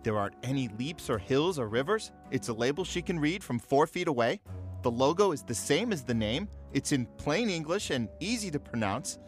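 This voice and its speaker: An actor playing an earnest man proudly pitching his new wine in an audiobook drama. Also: lively intonation, normal speed, close to an everyday voice. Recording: music bed behind the voice.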